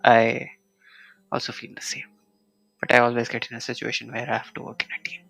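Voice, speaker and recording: A man's voice: mid-range voice, completely flat delivery, brisk, vocal fry, sharp tone, low-quality recording. A man giving casual live commentary as he plays a video game. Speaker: game streamer. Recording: faint game music behind.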